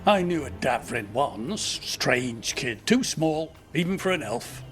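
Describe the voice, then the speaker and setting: An actor playing a cranky, wry old elf who keeps a herd, teasing and plain-spoken, in a video game. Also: male voice, mid-range voice, big pitch swings, takes it slowly, a bit bright, faint music behind. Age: older.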